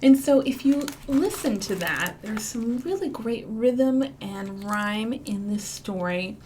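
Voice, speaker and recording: A woman's voice: fairly high pitch, expressive, slow, a little mellow, slight background noise. A woman with a Midwestern American accent, a children's librarian leading storytime with songs and read-alouds in a playful, sing-song way with dramatic whispers.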